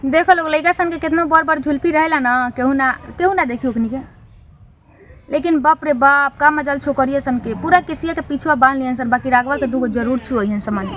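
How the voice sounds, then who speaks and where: A woman's voice: high voice, fairly quick, fairly bright tone, slight background noise, poor audio. A young woman with a Bihari, Bhojpuri-tinged accent, speaking emphatically as an interview guest and repeating phrases for effect. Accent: Bihari, Bhojpuri-influenced. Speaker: interview guest, comic poet and social media creator. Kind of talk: informal interview with recited satirical verse.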